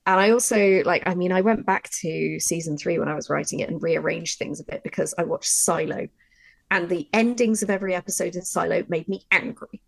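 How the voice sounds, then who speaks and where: A woman's voice: fairly low pitch, fairly quick, creaky. A woman talking casually and emphatically as a writer explaining her craft in a workshop discussion. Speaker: audio drama writer.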